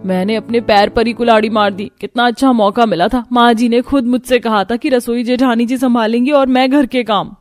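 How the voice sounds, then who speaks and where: A woman's voice: fairly high pitch, steady tone, a little fast, few pauses, a little mellow, dry recording, studio quality, close to an everyday voice. A woman playing a fretful, competitive rich younger daughter-in-law in a cartoon family story. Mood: frustrated. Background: background music.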